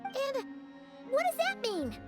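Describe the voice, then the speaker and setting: Squeaky, very high-pitched excitable little fairy girl. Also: slow, full character voice. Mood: puzzled, insistent. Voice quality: squeaky, childlike. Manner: chatty, exclamatory, questioning. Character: tiny excitable fairy-like girl companion, curious and blunt. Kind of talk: English video game dialogue acting. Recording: music playing behind the voice. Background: background music.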